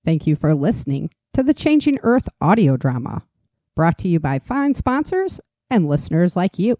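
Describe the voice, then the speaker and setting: A woman's voice: fairly low pitch, big pitch swings, normal speed, mellow, no room sound. A woman announcer doing scripted announcements and ad reads.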